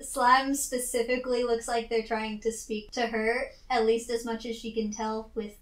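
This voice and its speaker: Echoey high voice, girl player in a tabletop game, stop-start casual talk. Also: flat delivery, moderate pace. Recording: roomy echo.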